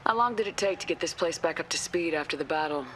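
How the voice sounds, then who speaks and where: Give the game's heroine a low, assertive woman's voice. She is a resolute soldier who talks directly and without fuss. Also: fast, fairly bright tone, slight background noise. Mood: businesslike. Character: resolute female space-soldier hero, no-nonsense. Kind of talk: video game dialogue acting.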